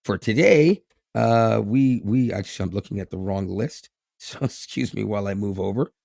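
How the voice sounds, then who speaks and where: A hoarse man from Canada, co-hosting a casual podcast in a rambling way, with restarts and chuckles. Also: lowish voice, normal speed, a little mellow, no room sound, studio quality. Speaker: podcast co-host.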